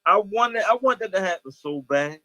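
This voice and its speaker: A man's voice: high-pitched, expressive, a little fast, sharp tone, dry recording. A man in his thirties with an American accent, chatting earnestly in slangy, casual speech over a lo-fi video call. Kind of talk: casual chat, anecdotal. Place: indoor room, video call. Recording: dull lo-fi video call audio.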